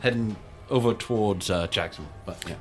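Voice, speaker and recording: A man's voice: fairly low pitch, normal speed, faint music behind. A man chatting casually as a player at a tabletop fantasy game, working out his moves.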